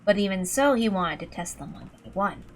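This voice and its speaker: A woman's voice: mid-range voice, expressive, fairly quick, slight background noise. A woman reading scripted narration from a visual novel. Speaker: reader of a visual novel playthrough. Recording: faint music behind the voice. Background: faint music.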